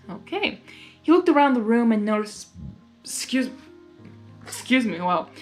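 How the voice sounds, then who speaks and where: A woman with a high, very animated voice reads a story aloud. Her delivery is informal and unrehearsed, and faint music plays underneath. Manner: unrehearsed, with stumbles and amused asides. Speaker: fan fiction reader and channel host.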